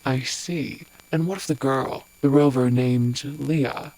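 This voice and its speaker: A woman playing a coolly calculating empress in an audio drama, deliberate and restrained. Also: a bass voice, warm tone, heavily acted, rough recording. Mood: cold, resigned.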